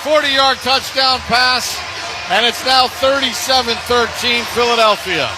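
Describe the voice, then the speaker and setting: An American man calling live football play-by-play on the radio, breaking into excited shouts on big plays. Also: very high-pitched, a little slow, crowd noise, background noise.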